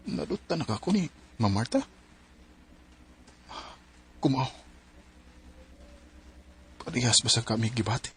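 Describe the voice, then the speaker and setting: Shy, hesitant smitten employee. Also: male voice, medium pitch, very animated, measured pace, long pauses, noisy background. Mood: conflicted, brooding. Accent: Filipino, Cebuano-speaking. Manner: hesitant, stammering. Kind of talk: Philippine radio drama acting.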